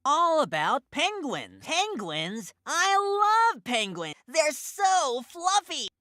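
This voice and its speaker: A cute, bubbly cartoon character for little kids. The voice is high and swoops up and down with slow, gushing enthusiasm. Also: female voice, very animated, bright, forceful tone, dry recording, studio quality, full character voice. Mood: bubbly, excited. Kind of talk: children's cartoon voice acting.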